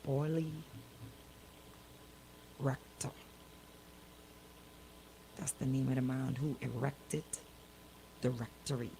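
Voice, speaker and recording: A woman's voice: a bass voice, gravelly, noisy background. A woman hosting a casual live talk show, questioning people incredulously and repeating her words for emphasis. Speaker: radio show host.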